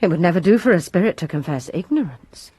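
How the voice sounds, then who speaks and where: A woman's voice: on the low side, animated, fast, warm tone, breathy edge, dry recording. A woman playing a resentful lady's maid who gives her testimony with bitter emphasis in a radio drama.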